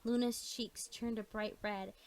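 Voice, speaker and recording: A woman's voice: fairly high pitch, fairly even intonation, unhurried, darkish tone. A woman narrating a fan fiction story as its storyteller.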